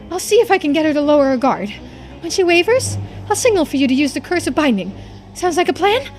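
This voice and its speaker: A woman's voice: high-pitched, very animated, fast talker, faint music behind. A woman voicing a shrewd, commanding female government investigator in a video game, authoritative and calculating. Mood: scheming.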